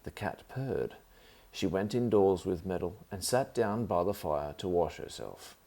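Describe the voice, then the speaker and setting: A man's voice: low-pitched, animated, unhurried, vocal fry, warm tone. A man reading a children's story aloud as the narrator.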